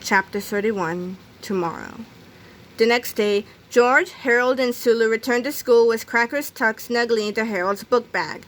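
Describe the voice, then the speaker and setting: A woman's voice: on the high side, measured pace, poor audio. A woman reading a children's book aloud as scripted narration. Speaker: storybook reader.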